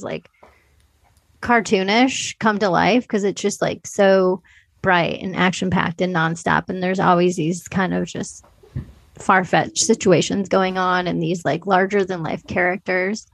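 A woman's voice: on the low side, unhurried, creaky, dry recording. A woman co-hosting a podcast, discussing films casually in an informal, hedging, conversational way. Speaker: podcast co-host.

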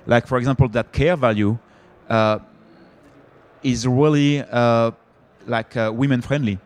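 French-accented male panelist, halting delivery with frequent restarts. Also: mid-range voice, steady tone. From France. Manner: hesitant, many fillers and restarts. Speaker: panelist, company founder. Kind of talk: conference panel discussion.

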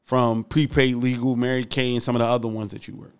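A man's voice: mid-range voice, little pitch movement, a little fast, smooth. A man hosting a casual interview podcast, encouraging and laughing as he talks. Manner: encouraging, laughs while talking. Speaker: podcast host.

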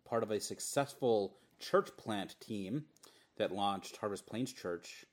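A man from Minnesota co-hosting a casual podcast, relaxed and hesitant, with many fillers. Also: on the low side, takes it slowly. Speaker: podcast co-host.

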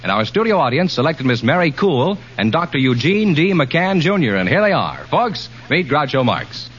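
A man's voice: fairly high pitch, sing-song, moderate pace, fairly bright tone. An American man announcing contestants on a vintage radio show in old-time announcer style. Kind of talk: 1940s-50s radio announcing. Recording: muffled old radio recording, faint noise.

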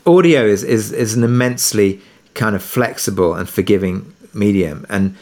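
Low-pitched man chatting as an interview guest. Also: normal speed, a little mellow. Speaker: drama producer interviewed as a guest. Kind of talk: casual interview anecdote.

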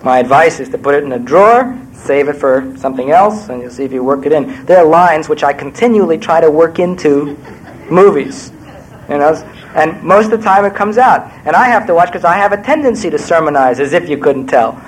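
An older New man with a slightly raised pitch talks through his craft in a wry, meandering way. He pokes fun at himself as he digresses. A faint low rumble sits under his voice. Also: animated, fairly quick, low-quality recording. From New York. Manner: wry, digressive, self-deprecating. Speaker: screenwriter guest speaker. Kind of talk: Q&A talk to an audience.

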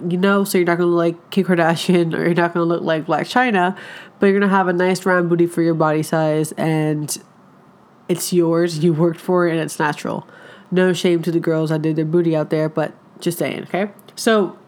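A young woman, a wellness coach, talking casually on her podcast with a stuffed-up nasal voice from a cold, full of filler words. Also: fairly low pitch. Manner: chatty, lots of filler words.

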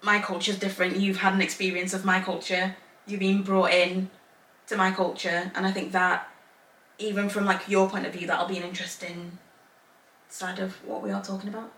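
A woman's voice: mid-range voice, level tone, moderate pace, fairly bright tone. A woman born in Zambia and raised in England, co-hosting a casual podcast chat, rambling in long run-ons and laughing often. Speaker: podcast co-host. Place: home room, Northern Ireland. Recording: echoey room, mic at a distance.

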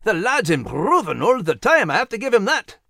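Gruff dog, storybook character, gravelly, bright forceful tone, blunt and hearty. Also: male voice, sits high, big pitch swings, brisk, no room sound, clean studio sound, pitched above the performer's own voice, heavily acted. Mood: hearty, teasing. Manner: informal, blunt, teasing. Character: gruff, playful male Scottish terrier, hearty and plainspoken. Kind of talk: animated children's storybook character reading.

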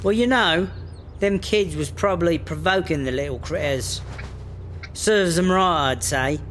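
A man's voice: a little high, a little slow, fairly bright tone. A man with a British accent playing a cheeky, mouthy smuggler driver in a comedy audio drama, slangy and casual. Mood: indignant. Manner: slangy, casual, feigning innocence. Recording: faint vehicle noise behind.

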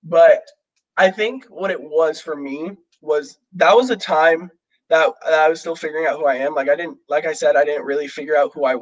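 A man's voice: fairly high pitch, a little fast, muffled recording. Chatty young man, light voice. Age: young adult. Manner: rambling, many fillers and restarts. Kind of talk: casual chat.

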